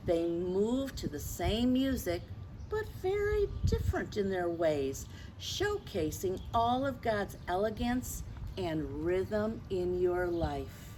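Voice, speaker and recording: An older woman, in her sixties or beyond, reading a picture book aloud to children in a gentle, expressive way with conversational asides. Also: medium pitch, takes it slowly, background noise. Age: 60s or older. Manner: gentle, expressive, addressing children with tag questions. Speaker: children's storyteller.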